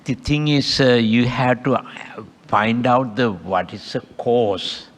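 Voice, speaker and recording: An older Buddhist monk with a Sri Lankan accent, his first language Sinhala, giving a dhamma talk in halting, broken English and checking in with a questioning "huh?". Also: medium pitch, a little hiss or hum. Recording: long hall reverb.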